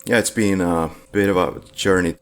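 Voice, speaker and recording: Deep-voiced male podcast host. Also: steady tone, moderate pace, clear, resonant tone. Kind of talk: casual podcast hosting.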